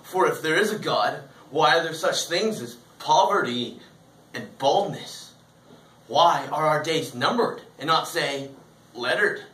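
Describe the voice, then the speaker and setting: In a roomy space, a little off the mic, a man performs a hugely fat, self-important man philosophizing about himself in a comic stage monologue. His voice is bright and forceful, declaiming every thought with mock solemnity. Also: a little high, expressive, unhurried. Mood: earnest, storytelling. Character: hugely obese, grandiose, philosophizing man confessing his gluttony. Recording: roomy, distant mic, faint noise.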